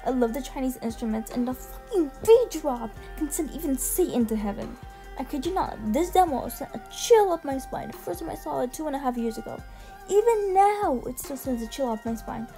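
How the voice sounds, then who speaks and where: A young woman giving casual, gushing ranking commentary in a voice-over, slangy and quick to swear. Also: high-pitched, lively intonation, mellow. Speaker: video essay host. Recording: music behind the voice. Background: music.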